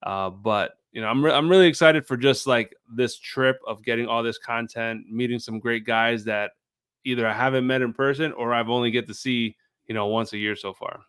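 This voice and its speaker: A man's voice: medium pitch, fairly even intonation, moderate pace, fairly bright tone, clean tone, dry recording. A man speaking informally as a guest on a show, a video creator explaining things in casual chat.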